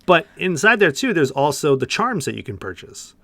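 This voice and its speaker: A man's voice: fairly high pitch, big pitch swings, moderate pace, sharp tone, no echo. A man co-hosting a podcast, chatting casually about a video game in loose, searching phrasing with repeated words. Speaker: podcast co-host.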